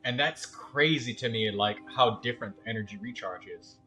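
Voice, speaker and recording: A man giving casual, explanatory game commentary as a streamer, halting now and then as he thinks. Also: on the low side, pushed and bright, faint music behind.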